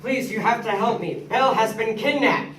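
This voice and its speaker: A woman's voice: a little high, normal speed, fairly bright tone, close to an everyday voice. Female as pleading elderly father; amateur stage read, roomy sound with background noise. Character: flustered, pleading old inventor father. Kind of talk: amateur readers theater in drama class. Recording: noisy echoey room, distant mic.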